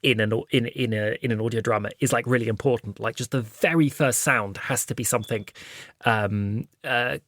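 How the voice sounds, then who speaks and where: A man's voice: mid-range voice, fairly even intonation, normal speed, a bit bright, no echo, clean studio sound. A man hosting a casual behind-the-scenes chat in a jokey, digressive way. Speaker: podcast host and audio drama writer.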